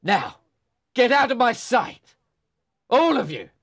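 A woman's voice: mid-range voice, wildly swooping pitch, slow, breathy edge, exaggerated voice. A woman doing a drunken raging brute of a man. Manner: shouting, slurred menace. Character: drunken violent man, cursing and threatening. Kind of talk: audiobook character voice.

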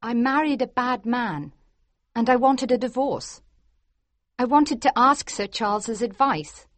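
A man reading a story aloud, voicing an upset, defensive young woman in a soft, hesitant falsetto. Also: a little slow, no room sound. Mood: pleading.

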